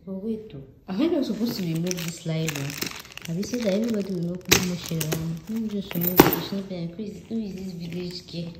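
Young nigerian woman chatting to her camera, echoey empty house, clear noise behind. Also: on the low side, quick pace. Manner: chatty, mixes in Yoruba phrases, talks to viewers while packing. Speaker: vlogger. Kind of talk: casual vlog chat. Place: large empty house with tiled floors, indoors. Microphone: handheld camera at arm's length.

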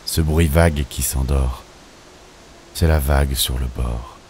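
A man with an extremely low voice recites dramatic poetry over a clearly audible bed of noisy ambience. His delivery is slow and solemn, with theatrical, measured phrasing. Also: steady tone, a little mellow. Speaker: poetry reader.

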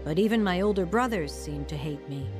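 A reflective, sober woman played by an actress tells her life story as radio drama narration. Her voice is slightly low and measured, over a music bed. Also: lively intonation, close to an everyday voice. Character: woman soberly recounting her troubled, lonely youth. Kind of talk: scripted first-person radio drama narration.

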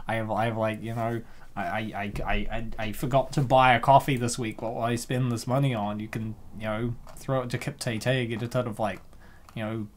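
Rambling, excitable young comic reactor, medium pitch. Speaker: comic dub host and reactor. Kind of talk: casual reaction commentary.

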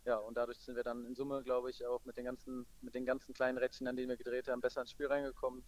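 A man speaking as a football coach in a post-match interview, matter-of-fact and measured. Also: medium pitch, completely flat delivery.

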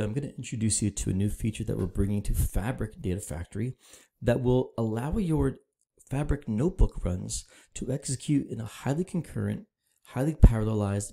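Gravelly, dark-voiced man explaining software. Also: fairly low pitch, fairly quick. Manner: casual, explanatory, steady walkthrough. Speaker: product demo presenter. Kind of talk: screen-recorded software tutorial.

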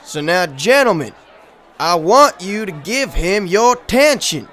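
A drunken bully of a man, acted out by a reader, heckles in a high, forceful bark. He is loud and demanding, snapping out orders in a novel dramatization. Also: very animated, measured pace, a faint crowd, full character voice. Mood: commanding.

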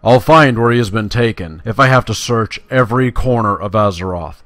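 A man's voice: lowish voice, fairly quick, a bit bright, no echo, close to an everyday voice. A man reading game quest dialogue aloud as an earnest, vengeful druid, solemn and dramatic. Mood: solemn, vowing.

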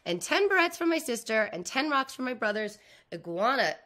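Storybook schoolgirl, read by a woman: eager, earnest, careful lister, raised pitch, bright tone. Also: sing-song, moderate pace. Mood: earnest, hopeful. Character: anxious, eager little schoolgirl showing her collection.

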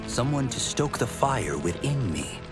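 An actor playing a young mortal thunder god, narrating reflectively in a game ending over music. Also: male voice, medium pitch, very animated, unhurried.

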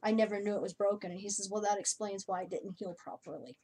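A middle-aged woman telling a personal story softly and hesitantly, with many fillers, recorded on a home webcam. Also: mid-range voice, steady tone, quick pace, breathy edge. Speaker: co-host. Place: home room, indoors. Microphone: webcam video call framing.

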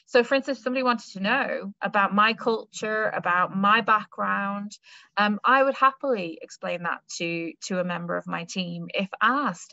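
A woman's voice: medium pitch, bright, forceful tone, no room sound. A young woman with an Irish accent, giving an online careers talk in a conversational way with many fillers and restarts. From Ireland. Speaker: careers adviser. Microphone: webcam or laptop mic on video call. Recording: slightly dull lo-fi audio.

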